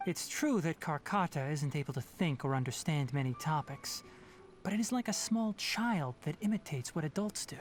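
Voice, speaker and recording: An actor playing a young, scholarly forest ranger in a video game, composed and brisk, explaining things precisely and firmly. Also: male voice, fairly high pitch, expressive, close to an everyday voice. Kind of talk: video game character acting. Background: faint game music.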